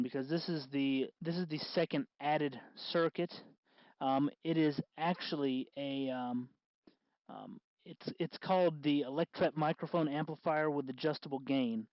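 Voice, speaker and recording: Male tutorial host, slightly high voice, halting folksy explainer, informal, dull lo-fi sound. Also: no echo. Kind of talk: informal hands-on electronics tutorial.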